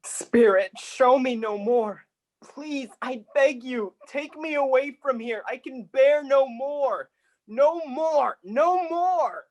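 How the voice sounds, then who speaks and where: A woman's voice: sits high, takes it slowly, darkish tone, highs cut off. A woman playing a frightened old miser man in a radio play. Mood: pleading, distressed.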